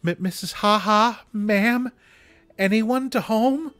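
Guy doing a sweet nervous old southern lady from a story, high polite voice, kinda hesitant. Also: slow pace, fairly bright tone, no room sound, faint music behind. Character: timid, polite elderly Southern woman, whispery friend.

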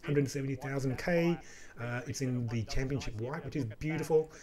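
A man's voice: mid-range voice, fairly quick. A man in his thirties with an Australian accent, casually talking through car auction listings on a home livestream in a small room. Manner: relaxed, chatty, rambling with many fillers. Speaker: car enthusiast streamer.

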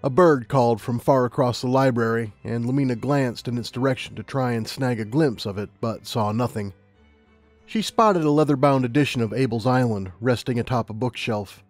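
A man's voice: medium pitch, normal speed, no room sound, faint music behind. A man reading scripted audiobook narration as the narrator of a story.

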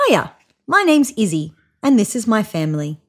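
A woman in her thirties reading a children's picture book aloud in an expressive, child-directed way. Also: mid-range voice, moderate pace. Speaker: storybook reader. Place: small room indoors, seated on a couch.

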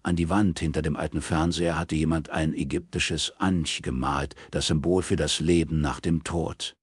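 A man's voice: extremely low pitch, fairly even intonation, normal speed, husky, no echo, clean studio sound. A man narrating a crime novel as an audiobook, reading from a script.

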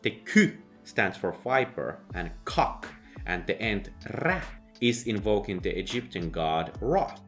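A man narrating a sardonic book review, dry and mocking with sudden loud outbursts. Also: on the low side, lively intonation, takes it slowly, soft background music. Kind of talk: sardonic video essay narration.

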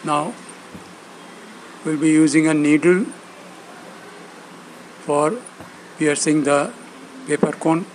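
A man narrating instructions step by step, speaking in short, halting phrases with pauses between them. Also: fairly high pitch, fairly even intonation, slight background noise, low-quality recording. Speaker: instructor demonstrating loudspeaker assembly.

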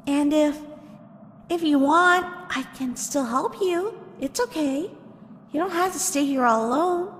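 A woman voicing a kind, timid human girl in an animated comic dub, gentle, hesitant, earnest and forgiving. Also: high voice, measured pace, slight background noise. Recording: long reverb, music behind the voice.

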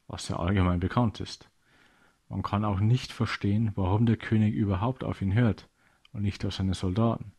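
A man narrates a scripted story for children. His voice sits a little low and has a husky, unpolished grain. He delivers the text as a storyteller. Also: darkish tone.

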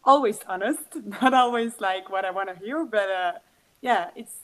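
A woman's voice: medium pitch, normal speed, low-quality recording. Young woman, 20s, video call, casual, lots of "like" fillers, friendly former student. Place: bedroom at home.

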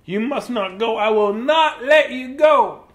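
A man's voice: extremely high pitch, lively intonation, measured pace, voice pushed up. A man voicing an anxious male storybook elephant, pleading in a melodramatic, repetitive way. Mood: alarmed, pleading.

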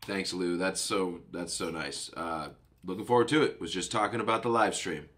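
Man, low voice, stuffy nose, casual rambling podcast host, studio mic. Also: moderate pace, a bit bright. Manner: rambling, upbeat, self-correcting. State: slightly stuffed up from a cold. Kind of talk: casual solo podcast chat. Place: home studio room. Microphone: studio mic on boom arm, headphones.

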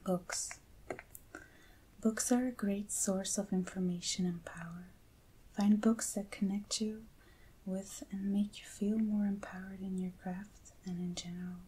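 A young adult woman doing soft-spoken ASMR in a breathy, whispery voice, gentle and unhurried, close to the microphone. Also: medium pitch, steady tone, a little hiss or hum, low-quality recording. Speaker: ASMR creator unboxing items. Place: indoors at a table with a backdrop.

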